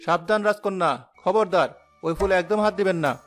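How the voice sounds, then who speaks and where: Unseen cartoon forest spirit, played by a man: fairly high male voice, solemn, protective, formally polite. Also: steady tone, fairly quick, faint music behind, natural voice. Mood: urgent. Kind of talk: animated fairy-tale dialogue.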